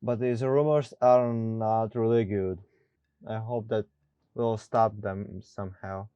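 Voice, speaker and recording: A man's voice: lowish voice, fairly even intonation, slow, warm tone, smooth, no room sound. A man giving casual let's play commentary, with non-native English phrasing, recorded close on a dull microphone. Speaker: gaming YouTuber.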